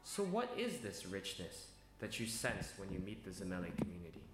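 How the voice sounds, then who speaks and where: A man giving scripted documentary narration in a measured, earnest storytelling manner. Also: sits low, sing-song, noisy background. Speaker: video narrator. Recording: roomy with music behind. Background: instrumental music.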